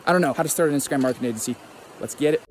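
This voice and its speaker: A man's voice: mid-range voice, rapid-fire, roomy sound, a little hiss or hum. A man hosting an online interview in a casual, upbeat and joking manner. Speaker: host.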